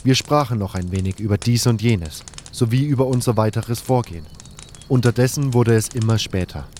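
A man's voice: mid-range voice, fairly quick, a little mellow, a little hiss or hum. A man narrating a scripted first-person story for an audio drama.

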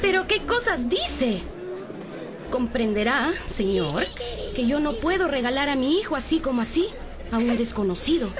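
A poor, protective mother, questions warily in a high voice. Her pitch leaps about with indignation, though she stays deferential. Music is clearly heard behind her. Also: sing-song, normal speed, crowd noise. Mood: indignant, wary. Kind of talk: Spanish-language radio drama acting.

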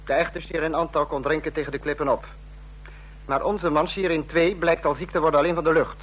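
An actor playing a sarcastic, domineering dictator in a radio drama, husky-voiced and biting as he scolds his subordinates. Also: on the high side, steady tone, brisk, fairly bright tone, low-quality recording. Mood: icy sarcasm.

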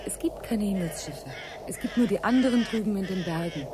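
A woman's voice: medium pitch, brisk, background noise, natural voice. An actress playing a bitter, skeptical woman colonist, blunt and embittered, in a radio drama. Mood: scornful. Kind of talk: German radio drama acting.

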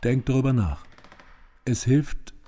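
An actor playing a patient male therapist in a radio drama, gentle, deliberate and reassuring. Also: mid-range voice, natural voice. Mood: coaxing.

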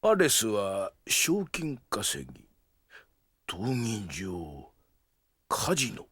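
A man's voice: fairly low pitch, huge pitch swings, drawn-out words, fairly bright tone, husky, no room sound, studio quality, full character voice. A man playing a greedy, scheming old grandfather and town mayor in a Japanese audio drama, with a blustering, theatrical delivery. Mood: scheming, gleeful. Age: older. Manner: blustering, theatrical, swinging between coaxing and outbursts.

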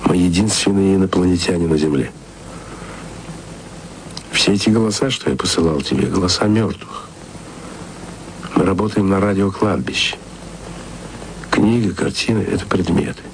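A very deep, gravelly voice murmurs to a faraway woman like a lovesick radio drama lead. The delivery is slow and intimate, often dropping to a whisper. Steady hiss sits under the whole recording. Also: full character voice, low-quality recording.

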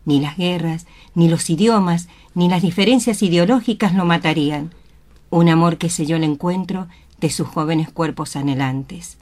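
A woman's voice: on the low side, moderate pace, darkish tone. A woman reading scripted, dramatic narration for a radio play.

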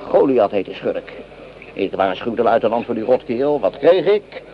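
A man's voice: mid-range voice, very animated, moderate pace. A man playing a hungry, mocking French common soldier in a Dutch radio drama, with coarse, sneering complaints. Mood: bitter scorn. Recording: dull lo-fi radio play recording.

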